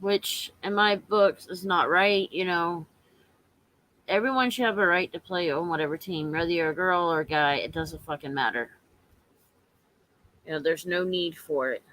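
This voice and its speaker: A woman with a hoarse voice from allergies, talking casually and haltingly to her viewers on a home live stream. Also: lowish voice, fairly bright tone, low-quality recording. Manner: halting, repetitive, earnest.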